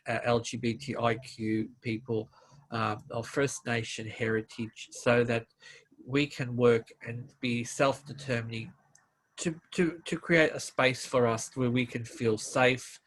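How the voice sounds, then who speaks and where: A man hosting an interview series, speaking haltingly and searching for words. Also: mid-range voice, a bit bright, rough recording. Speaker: interview host.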